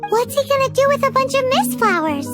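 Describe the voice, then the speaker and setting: A woman voicing a tiny, excitable, cheeky fairy-like girl companion in a video game, squeaky and childlike, with loud music behind her. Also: a little fast. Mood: curious. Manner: speaks of herself in the third person, exclamatory. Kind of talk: video game character acting.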